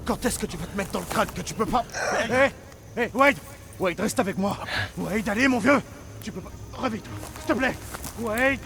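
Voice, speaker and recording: A man's voice: high voice, moderate pace, vocal fry, noisy background. A man acting a tense, profane wartime airman who looks after a comrade, in a French game dub. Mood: desperate. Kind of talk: dramatic French game dubbing. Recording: loud music underneath.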